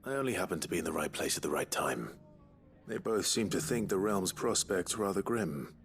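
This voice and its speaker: An actor playing a stoic, earnest young nobleman swordsman in a video game, speaking tersely and gravely with a British accent. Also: lively intonation, normal speed. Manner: low-key, terse, grave.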